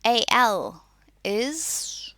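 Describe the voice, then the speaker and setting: A woman giving a spoken lesson as a teacher, reading out and explaining in short, deliberate, instructive statements. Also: on the high side, animated, pushed and bright, dry recording, clean studio sound.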